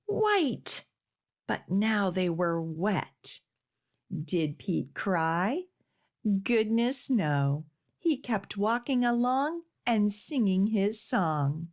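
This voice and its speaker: Woman doing kids storytime, takes her time, really singsong, pitch goes up and down a lot. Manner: deliberate, singsong, instructional. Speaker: children's storytime librarian. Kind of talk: slow read-aloud storytime for young children, with sung refrains and action rhymes.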